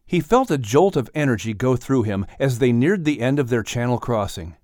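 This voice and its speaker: A man's voice: mid-range voice, expressive, moderate pace, a little mellow, no room sound, studio quality. A man announcing and narrating a children's story in an upbeat, scripted style.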